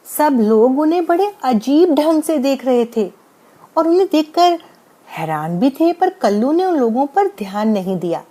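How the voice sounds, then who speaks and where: Mellow, high-voiced woman storyteller. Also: very animated, measured pace. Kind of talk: scripted bedtime story narration.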